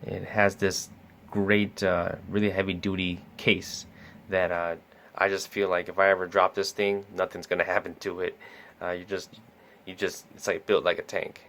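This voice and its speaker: A man's voice: low-pitched, flat delivery, moderate pace, a bit bright, a little hiss or hum. A man casually talking through product features as a store salesperson, with many uh and um fillers. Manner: easygoing, lots of uh and um fillers. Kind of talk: casual product demo talk.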